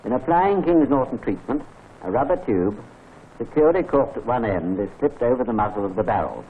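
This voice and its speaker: British male narrator, measured and formal, tinny old film sound with faint hiss. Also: mid-range voice, lively intonation. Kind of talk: scripted training film narration.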